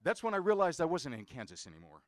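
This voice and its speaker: A middle-aged man with an American accent telling a rehearsed personal story on stage, with theatrical, deliberate phrasing. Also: on the high side, wildly swooping pitch, no echo. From United States. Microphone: stage microphone on a stand.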